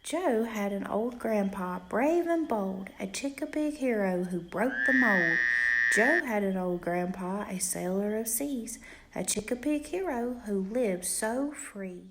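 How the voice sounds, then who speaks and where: A woman reading a rhyming bedtime storybook aloud to a child in an expressive, rhythmic way. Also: medium pitch, measured pace, a little hiss or hum. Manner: rhythmic, animated read-aloud with emphatic questions.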